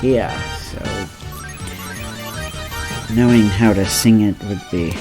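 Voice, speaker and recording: A man with a nasal, allergy-stuffed voice, chatting casually and slowly as co-host of a game let's play, rambling and laughing easily. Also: lowish voice, over music. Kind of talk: casual chat over gameplay.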